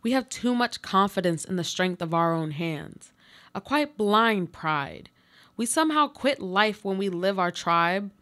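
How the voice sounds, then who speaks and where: A woman's voice: medium pitch, animated, unhurried, fairly bright tone. An actress playing a trapped, injured and resigned woman in a literary radio play, speaking with earnest, poetic delivery. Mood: sombre.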